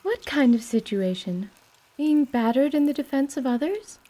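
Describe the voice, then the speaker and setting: A woman's voice: sits high, expressive, moderate pace, dark tone. A woman playing a prim, melancholy young noblewoman adventurer with genteel, formal phrasing in a fantasy radio drama. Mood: fussing. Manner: formal, genteel, fussy wording. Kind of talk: radio-theatre fantasy role-play acting.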